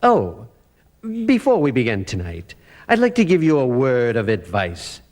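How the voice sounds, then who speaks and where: A man's voice: medium pitch, wildly swooping pitch, unhurried, darkish tone. An older man playing a gleefully macabre horror host in old-time radio style, creepy and mock-polite. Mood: ghoulish, gleeful. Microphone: stand microphone, close.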